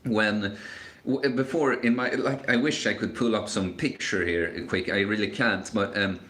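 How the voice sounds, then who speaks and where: A man's voice: fairly low pitch, steady tone, unhurried, a bit bright. A man in his twenties hosting a casual live Q&A stream, talking in a rambling, hesitant way with many fillers and restarts. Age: 20s. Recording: echoey room.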